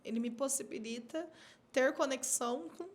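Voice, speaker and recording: A woman with a Minas Gerais accent hosting a casual podcast chat, lively and expressive. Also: sits high, normal speed. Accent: Minas Gerais Brazilian Portuguese. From born in São Paulo, raised in Minas Gerais. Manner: lively, expressive, playful asides. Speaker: podcast host.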